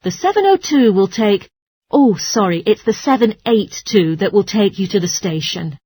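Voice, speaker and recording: A woman's voice: medium pitch, expressive, normal speed, warm tone, slightly rough, no echo. A woman playing a courteous, helpful transport information clerk in a scripted language-test dialogue.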